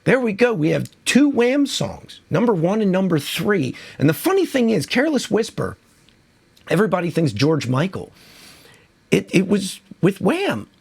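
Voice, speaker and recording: Husky man with a cold, lively chatter. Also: high voice, moderate pace. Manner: chatty, enthusiastic, rambling with restarts. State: losing his voice from a cold. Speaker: music video host. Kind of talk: casual countdown commentary.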